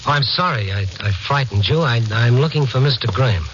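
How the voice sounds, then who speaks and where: An actor playing an inquisitive, kindly night-beat reporter in a radio drama, moving between brooding narration and hesitant, soothing dialogue. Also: male voice, mid-range voice, expressive, fairly quick, heavy background noise, natural voice. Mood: apologetic, soothing. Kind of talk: 1950s radio drama acting and first-person noir narration. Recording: muffled 1950s radio recording.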